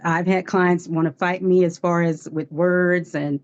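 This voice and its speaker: Low-voiced woman in her fifties testifying. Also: steady tone, a little slow, a little mellow, no room sound, lo-fi sound. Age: 50s. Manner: earnest, testifying, laughs at herself. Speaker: guest life coach. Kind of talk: livestream testimony.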